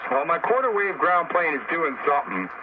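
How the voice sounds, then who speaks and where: A man's voice: on the high side, very animated, a little fast, narrow, tinny audio. A man chatting casually and bluntly over two-way radio as an amateur operator, with band-limited, noisy sound. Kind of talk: casual on-air radio chat.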